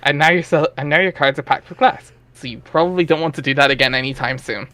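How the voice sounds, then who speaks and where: Guy reading a webcomic out loud, slightly high voice, wry and theatrical, very dry sound. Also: fast, dry recording. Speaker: narrator. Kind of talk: amateur dramatic reading of a webcomic with casual asides.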